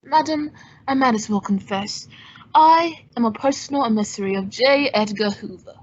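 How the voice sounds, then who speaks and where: A woman playing a suave, wry gentleman sleuth, polished and teasing, in an old-time radio detective drama. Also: a little high, normal speed, slightly rough, lo-fi sound. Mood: wry, persuasive.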